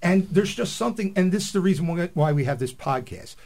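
A man's voice: high-pitched, lively intonation, fast talker, a little mellow. A male radio co-host in casual panel chat, good-humored and teasing, keen to keep the peace.

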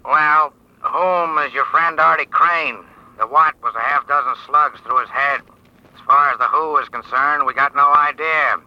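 An actor playing a sardonic, deadpan police sergeant on a telephone in an old-time radio drama, with a droll manner. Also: male voice, fairly high pitch, unhurried, no room sound. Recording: dry, dull old radio recording.

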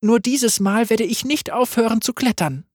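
A man putting on a high, trembling young actress. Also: moderate pace, husky, no room sound, clean studio sound. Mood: trembling wistful. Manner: high, stammering, grand stage delivery. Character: frightened young woman actress, theatrical.

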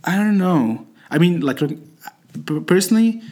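A young man from Egypt, a native Arabic speaker, talking casually in an interview, with lots of fillers, restarts and soft laughs. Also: high voice, animated, normal speed. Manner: hesitant, many fillers and restarts, laughs softly. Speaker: interviewee, student.

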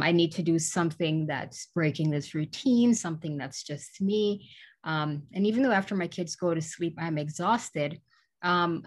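Low-pitched chatty woman guest in her forties, from Guyana, talks freely with fillers. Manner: chatty, many "um" fillers, laughs easily. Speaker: guest, small business owner. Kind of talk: casual interview.

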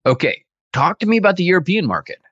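A man's voice: high-pitched, very animated, fast talker, fairly bright tone, breathy edge, no room sound, highs cut off. A man hosting a podcast interview in an informal, chatty way with rambling asides. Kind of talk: conversational interview.

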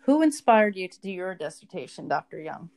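A woman's voice: fairly low pitch, sing-song, a little fast, darkish tone. A woman interviewer asking curious, conversational questions.

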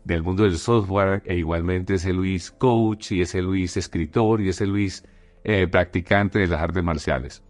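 A man hosting a podcast, giving measured, formal introductions and questions. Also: low voice. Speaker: podcast host.

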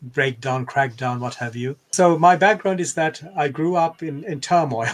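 An older man from Bangladesh, speaking as a scholarly interview guest in a measured, reflective, explanatory way. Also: a little high.

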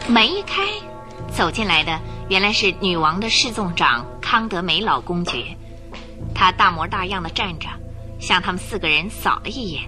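A woman narrating a radio drama with expressive storytelling. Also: on the low side, normal speed. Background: music.

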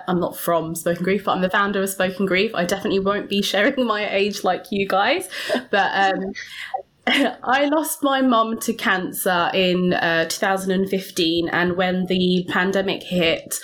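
A woman, a podcast guest, speaks reflectively and hesitantly, with many pauses and fillers. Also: lowish voice, fairly bright tone. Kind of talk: reflective personal interview.

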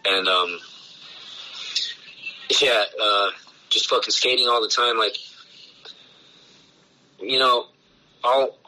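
A man, a punk band's bassist, talking loosely in a casual interview, full of swearing and filler words. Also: takes it slowly, pauses often, bright, forceful tone, rough recording. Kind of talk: casual podcast conversation.

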